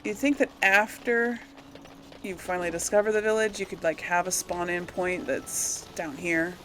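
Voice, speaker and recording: A woman's voice: lowish voice, steady tone, moderate pace, a bit bright, slight background noise, low-quality recording. A woman giving casual, rambling game commentary, absent-minded and thinking aloud as she plays. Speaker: gamer hosting a role-play playthrough series.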